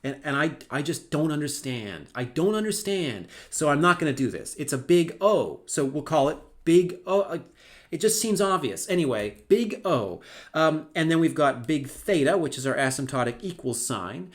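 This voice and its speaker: A man's voice: on the high side, very animated, normal speed. A middle-aged male university lecturer giving a recorded lecture, talking in a conversational way with wry asides and plenty of ums and restarts.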